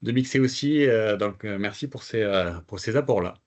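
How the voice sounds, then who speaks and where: A man's voice: fairly low pitch, moderate pace, a little mellow. A man taking part in a workshop gives casual feedback on a video call, hesitating with many fillers and repeated words. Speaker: workshop participant. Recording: dull lo-fi video call audio.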